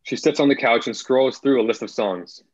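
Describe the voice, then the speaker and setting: A man's voice: mid-range voice, moderate pace, dry recording, muffled recording. A young man reading stage directions as scripted narration, recorded over a video call. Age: young adult. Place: indoor room at home, video call.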